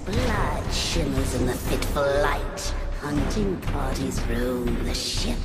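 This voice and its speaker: Low, slow, haunting storyteller. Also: female voice, lively intonation, exaggerated voice. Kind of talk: scripted second-person game narration. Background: loud game music.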